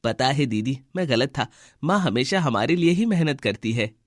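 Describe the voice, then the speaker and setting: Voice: mid-range voice, animated, moderate pace, no echo, clean studio sound, lightly acted. A performer voicing an earnest, polite young male bird child in a children's story cartoon. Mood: apologetic.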